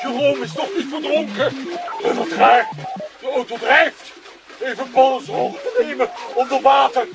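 A man's voice: very high-pitched, a little slow, vocal fry, full character voice. An actor playing a strong, simple-spoken giant of a man in a children's radio play, talking in clipped, broken sentences with a Flemish accent. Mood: hearty. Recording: music playing behind.

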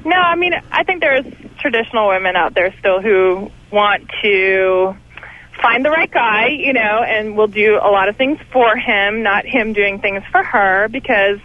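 A woman's voice: medium pitch, a little slow, no room sound. A woman from Sweden, a guest on a radio talk show, chatting frankly and playfully. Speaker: studio guest. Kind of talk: casual radio banter. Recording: dull dry studio sound.

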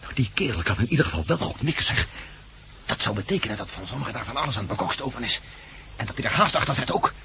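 A man's voice: mid-range voice, expressive, fast, natural voice. A man acting a wary, wry adventurer in a Dutch radio play, with a Dutch accent. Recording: noisy old radio drama with outdoor ambience.

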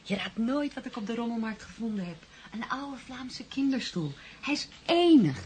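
An actress playing an affectionate, lighthearted wife in a radio play, talking in intimate, familiar domestic dialogue. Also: female voice, a little high, lively intonation, normal speed, darkish tone, a little hiss or hum, natural voice. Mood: delighted.

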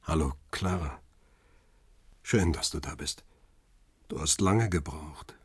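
An actor playing a man who tells his own crime story in a measured, subdued way, husky and weary, as in a radio thriller. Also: a bass voice, no room sound, full character voice. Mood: tender. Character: weary, lovelorn male paparazzo narrating his thriller story. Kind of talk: radio drama first-person narration.

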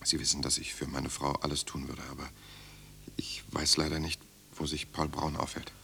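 An actor playing a weary, defiant political prisoner in a German radio drama, speaking in a grave, measured, inward way. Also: male voice, extremely low pitch, little pitch movement, gravelly, pushed and bright, a little hiss or hum, exaggerated voice. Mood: guarded. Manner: grave, measured, inward, sometimes dropping to a murmur. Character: weary, defiant male political prisoner recalling his interrogation. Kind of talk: 1970s German radio drama, inner monologue and dialogue.

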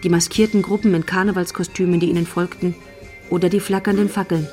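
A female narrator of a crime radio play, voiced by an actress. She tells the story in a scripted style with a fairly low voice. Music is clearly audible behind her. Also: little pitch movement, quick pace, darkish tone, close to an everyday voice. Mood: measured.

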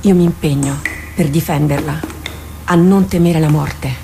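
A low-voiced, stubbornly loyal warrior captain. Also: female voice, steady tone, a little slow. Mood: solemn, resolute. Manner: solemn, earnest, theatrical delivery. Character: devoted woman warrior captain, stubbornly loyal, resigned to battle. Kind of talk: Italian radio drama acting. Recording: clearly noisy radio drama recording.

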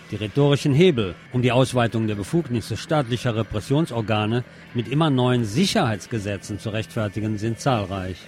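A man with a mid-pitched voice reads a scripted news commentary. His phrasing is pointed and sardonic, as a programme presenter's. Music plays behind him. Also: a little mellow.

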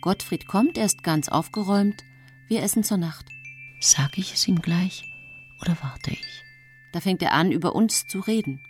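An actress playing a brooding, calculating woman in her thirties, narrating a radio drama as an intimate, deliberate, theatrical inner monologue. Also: on the low side, faint music behind, lightly acted. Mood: anxious, watchful. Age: 30s.

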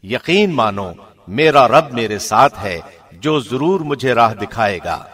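A man's voice: medium pitch, animated, fairly bright tone. Formal man reading scripture translation. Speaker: translation reader, radio presenter.

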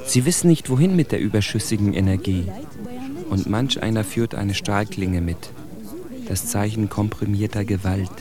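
A man's voice: fairly low pitch, normal speed, a crowd in the background, natural voice. A man playing a bitter, articulate immigrant in a literary radio drama monologue, with intense, rhetorical phrasing. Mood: bitter, insistent. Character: bitter, articulate immigrant man indicting his generation's plight. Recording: music bed under the voice.

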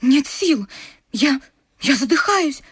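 An actress playing a bashful, easily mortified young daughter in a radio comedy, pleading and breathlessly dramatic. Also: female voice, high-pitched, lively intonation, slow, no echo, studio quality. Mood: distressed, pleading. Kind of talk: theatrical radio comedy acting.

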